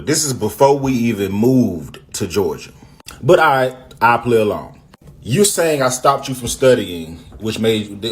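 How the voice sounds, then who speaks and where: A man's voice: mid-range voice, a little mellow, husky. A man telling a storytime straight to his viewers, emphatic and defensive, rebutting claims point by point. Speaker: storytime vlogger. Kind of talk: casual storytime to camera.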